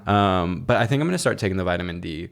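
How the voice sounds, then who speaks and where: A man co-hosting a podcast in casual comedic chat, deadpan and crude in his joking. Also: sits low, fast talker, creaky, smooth. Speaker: podcast co-host.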